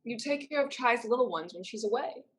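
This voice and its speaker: Woman acting sharp, streetwise young woman, higher voice. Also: lively intonation, brisk, lightly acted. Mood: encouraging, firm. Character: sharp, proud, streetwise young woman, protective of her sister. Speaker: actor in a staged reading. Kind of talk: stage play reading.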